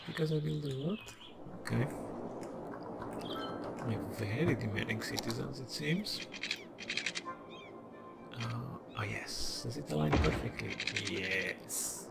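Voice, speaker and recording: A man's voice: mid-range voice, animated, slow, gravelly, pauses often. A young man with a Portuguese accent, casually thinking aloud and rambling while he plays a city-building game into a desk microphone. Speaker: gameplay video creator. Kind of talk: casual gameplay commentary. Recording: loud background music.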